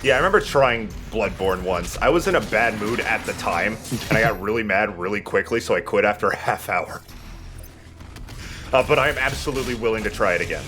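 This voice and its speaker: Male let's play host, casual, on the low side with lively intonation. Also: a little fast, slight background noise. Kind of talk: casual gameplay commentary. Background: video game music.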